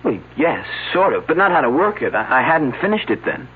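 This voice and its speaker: A man's voice: fairly high pitch, very animated, a little fast, husky, exaggerated voice. An actor playing a naive, flustered young male inventor in a radio mystery, stammering nervously. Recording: tinny old radio broadcast with faint hum.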